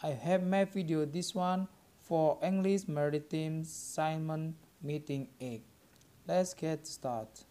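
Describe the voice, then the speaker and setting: A young man with an Indonesian accent reads a scripted student presentation aloud, halting word by word. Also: a little high, steady tone, dark tone. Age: young adult.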